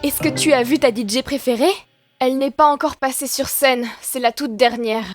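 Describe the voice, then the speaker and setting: Woman as a high-voiced spirited cartoon girl dog. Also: normal speed, lightly acted. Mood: disappointed. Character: spirited young female pet dog, sociable and expressive. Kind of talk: children's cartoon dubbing. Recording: music behind the voice.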